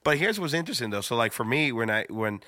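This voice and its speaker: A man, a pastor co-hosting a casual talk show, who speaks haltingly and repeats words as he goes. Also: mid-range voice, sing-song, bright, forceful tone, no echo, clean studio sound. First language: Spanish. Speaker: pastor, co-host. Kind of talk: casual podcast chat.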